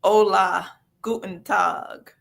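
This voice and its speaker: A woman's voice: medium pitch, fairly even intonation, takes it slowly, creaky. A woman with a Southern US accent chatting casually as a talk show guest, folksy and emphatic, repeating phrases for emphasis. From the southern United States. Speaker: regular guest, nonprofit executive director. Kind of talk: casual chat and personal updates.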